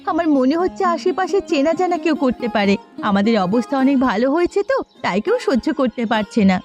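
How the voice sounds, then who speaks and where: A woman acts out an anguished village mother from a cartoon. Her high voice pleads urgently and melodramatically, with faint music underneath. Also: very animated, quick pace, a little mellow. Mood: anguished, pleading. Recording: music behind the voice.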